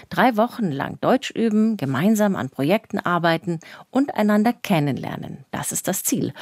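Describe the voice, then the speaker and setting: A woman presenting a news segment in a calm, clear reading voice. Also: fairly low pitch, no echo, studio quality.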